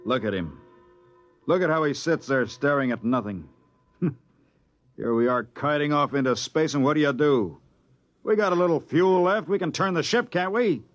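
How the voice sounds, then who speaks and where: Man playing cranky older space engineer, highish voice. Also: normal speed, long pauses, no room sound, soft background music, close to an everyday voice. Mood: scornful, bitter. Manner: blunt, needling, colloquial. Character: cantankerous, desperate older male spaceship engineer. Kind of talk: old-time radio drama acting. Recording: dry, dull lo-fi old radio recording.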